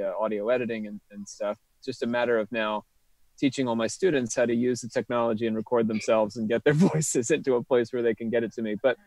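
A man's voice: mid-range voice, a little mellow, no room sound, poor audio. A man in his thirties, a theatre teacher, talking casually in an interview over a video call. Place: indoor room at home, on a video call.

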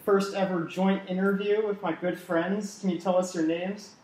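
Young male interviewer, fairly high voice, casual sports chat, roomy echo. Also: fairly even intonation, normal speed, a little mellow. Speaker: interviewer, host. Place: living room, indoors. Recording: echoey room with faint background noise.

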